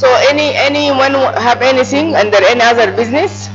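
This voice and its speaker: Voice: sits high, a little fast, a bit bright, slight background noise. A meeting chair thanking a speaker and calling for other business before the close. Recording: dull lo-fi livestream from off-mic.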